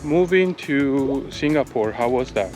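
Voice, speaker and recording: A man hosting an interview, relaxed, cutting in with short follow-up questions. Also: medium pitch, unhurried, faint music behind. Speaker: interviewer and channel host.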